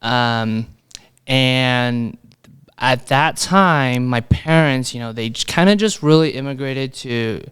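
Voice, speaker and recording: Easygoing man, smooth clear voice. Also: medium pitch, slow, fairly bright tone. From New York City, USA. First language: Chinese. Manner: easygoing, frequent "you know" fillers and restarts. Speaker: podcast guest, mortgage broker. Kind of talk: casual interview chat. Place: podcast studio. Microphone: podcast microphone.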